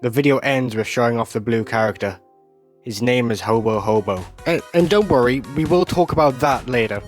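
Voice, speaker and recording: A man reads scripted video essay narration at a middle pitch. He describes scenes like a storyteller, with music playing faintly behind him. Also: fairly quick. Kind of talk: scripted narration, recapping an animated series.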